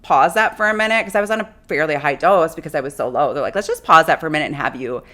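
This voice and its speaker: A woman, hosting a podcast. She is hoarse from a long illness, and her deep, raspy voice has a lot of vocal fry. She chats easily and laughs often. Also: lively intonation, rapid-fire, bright, forceful tone, no echo. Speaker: podcast host. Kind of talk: casual chat and read ads.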